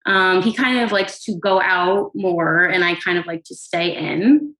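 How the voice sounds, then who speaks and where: A woman's voice: lowish voice, steady tone, a little slow, fairly bright tone, roomy sound, poor audio. A woman in her twenties calling in to a podcast, explaining her side of a conflict with her boyfriend hesitantly and with many fillers. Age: 20s. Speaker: caller. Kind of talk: casual conversation.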